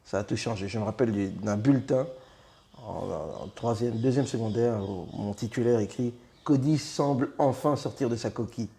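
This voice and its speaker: A Belgian man in his thirties, a comedian guest in a casual interview, speaking with many pauses and fillers and a self-mocking humor. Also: medium pitch, vocal fry, mellow. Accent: Belgian French.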